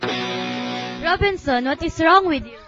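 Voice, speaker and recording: A worried, objecting mother, acted by a young woman in an amateur radio drama. Her voice is high. The recording is dull, with music playing clearly behind it. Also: animated, slow, full character voice. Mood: worried, protesting. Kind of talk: student radio drama acting.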